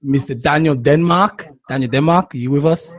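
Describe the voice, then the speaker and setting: A man hosting a podcast in casual chat, laughing often and cutting in. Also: a little high. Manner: laughing, quick to interrupt. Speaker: podcast host.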